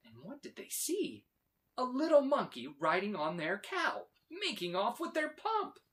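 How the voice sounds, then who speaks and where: A man reading a children's story aloud with expressive, dramatic emphasis. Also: very high voice, measured pace. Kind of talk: animated read-aloud narration for children.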